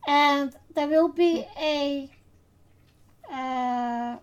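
A woman with a Dutch accent hosting a podcast in English, who speaks in a casual, unhurried way and pauses often with fillers. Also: sits high. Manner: unhurried, hesitant with many fillers. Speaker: podcast host.

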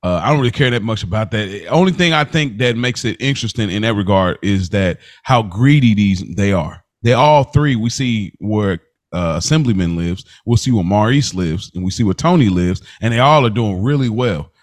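A man's voice: fairly low pitch, lively intonation, moderate pace, dry recording, studio quality. A man co-hosting a podcast, recapping television in casual, slangy, amused conversation. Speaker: podcast co-host.

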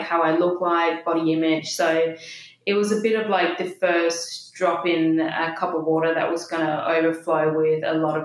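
Danish-accented woman, 30s, low voice, reflective interview guest, echoey room. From Denmark. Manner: open, reflective, many fillers. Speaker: podcast guest. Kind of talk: personal interview talk.

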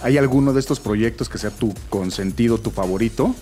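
A man's voice: medium pitch, a little fast, mellow, soft background music. A man with a Mexican Spanish accent hosting a casual interview. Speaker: interview host.

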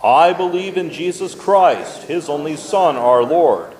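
A man's voice: fairly high pitch, animated, unhurried, darkish tone. A man, a pastor, leading a formal liturgical recitation in a church with long reverb. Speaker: pastor, worship leader. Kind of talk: leading congregational recitation of a creed. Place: church sanctuary.

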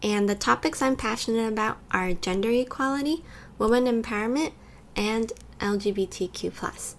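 A young woman who grew up in California and Taiwan, co-hosting a podcast and talking casually with frequent "like" fillers. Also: on the high side. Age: young adult. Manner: conversational, lots of "like" fillers. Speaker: podcast co-host.

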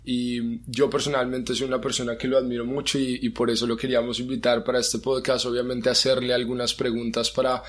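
Flat-voiced man hosting a podcast. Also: mid-range voice, fairly quick, hardly any pauses. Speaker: podcast host. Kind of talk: podcast introduction.

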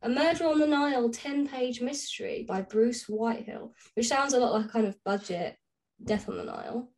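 Female co-host, higher-pitched, informal and playfully dry in conversation. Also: moderate pace, darkish tone, poor audio. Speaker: podcast co-host. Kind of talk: casual chat between friends.

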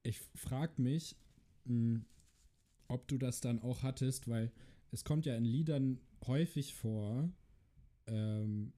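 Rambling man with a very dark voice. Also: medium pitch, slow pace. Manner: rambling, hesitant with many fillers. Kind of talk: casual chat.

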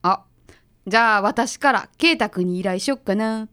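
A woman's voice: mid-range voice, normal speed, a bit bright, clear, resonant tone, lightly acted. A woman playing a breezy, confident detective's assistant with a Kansai accent in a chatty radio-drama style. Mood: excited, scheming. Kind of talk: radio drama acting, conversational.

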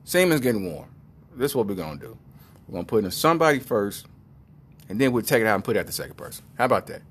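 A fussy middle-aged male doctor with a mock British accent, as a male comedian puts him on. He stammers and hesitates between words. His pitch rises and falls a lot. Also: very animated, racing, husky. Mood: impatient.

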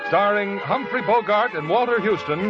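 A man's voice: high voice, animated, normal speed, loud music behind the voice. A man announcing a 1940s radio show in a grand, formal style, on a dull old radio recording.

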